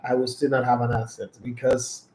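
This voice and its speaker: A man's voice: mid-range voice, little pitch movement, normal speed, darkish tone. A man with a Nigerian accent hosting a sports talk show, chatty and boastful, with pidgin asides. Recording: roomy echo.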